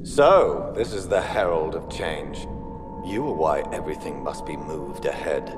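An actor playing a fanatical, menacing knight captain in a fantasy game, ominous and theatrical. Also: male voice, a bass voice, a little slow, music in the background. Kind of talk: dramatic fantasy game acting. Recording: long hall reverb, clear background noise.

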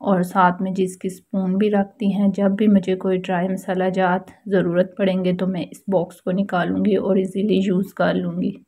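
Woman, Pakistani accent, mellow, slightly raspy, casual homemaking voice-over, polite explaining. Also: medium pitch, completely flat delivery, moderate pace, few pauses. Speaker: home organizing vlogger.

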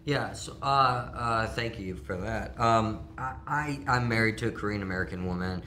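Bright-voiced man with halting starts. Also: on the low side, slight background noise. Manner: stumbling starts, self-deprecating, laughing. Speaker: actor interviewee. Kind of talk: press junket interview. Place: studio set.

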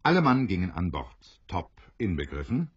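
Very deep-voiced male storyteller. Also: big pitch swings, normal speed, breathy edge, no echo, highs cut off. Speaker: narrator. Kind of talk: scripted audio drama storytelling.

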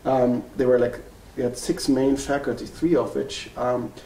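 A German-accented man in his forties speaks earnestly, pausing on ums and correcting himself as he goes. His voice carries a gravelly edge. It sounds roomy and a bit far from the mic, with a faint hiss underneath. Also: medium pitch, fairly even intonation, dark tone. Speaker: family physician. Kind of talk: interview storytelling. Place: wood-paneled room indoors.

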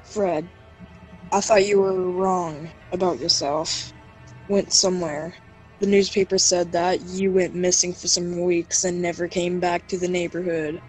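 An amateur actor playing an anxious, accusing restaurant worker in a horror audio drama, with halting, stilted delivery. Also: medium pitch, little pitch movement, soft background music, close to an everyday voice, poor audio. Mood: suspicious, pressing.

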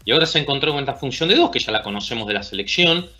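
A man's voice: mid-range voice, expressive, rapid-fire, sharp tone, soft background music. A man in his thirties with an Argentine accent, giving basketball analysis over a video call. He talks thoughtfully and often ends points with a tag question. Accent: Argentine Spanish. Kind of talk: casual sports discussion. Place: small room at home.

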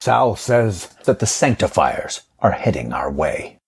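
An actor playing a weary, stern guardian in an audio drama, with a husky voice and a grave, terse manner. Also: male voice, low voice, sing-song, measured pace, slight background noise, full character voice. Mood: grim, urgent. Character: weary, stern, protective male guardian on the run.

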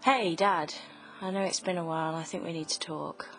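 A woman playing an estranged adult daughter in an audio drama, speaking gently and tentatively, as on a voicemail. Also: on the low side, steady tone, normal speed, poor audio. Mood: tentative, reconciling. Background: music.

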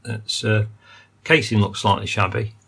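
A man's voice: fairly low pitch, level tone, measured pace, creaky, fairly bright tone, breathy edge. An older man musing through a hands-on repair of vintage gear at his workbench, talking technically with many ums and uhs. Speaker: electronics repair hobbyist. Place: workbench indoors.

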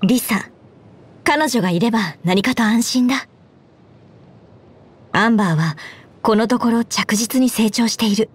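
A woman voicing an earnest, dutiful young knight commander in a Japanese game, speaking in a formal, measured way. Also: a little high, slightly rough. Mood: composed, thoughtful. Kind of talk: Japanese game character voice lines. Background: background music.

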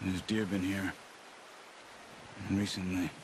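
An actor playing a calm, watchful outlaw hunter in a video game, speaking in a terse, instructive way. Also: male voice, deep voice, slow, noisy background. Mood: hushed, focused. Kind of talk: video game drama dialogue. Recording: game audio under loud music. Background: loud background music.

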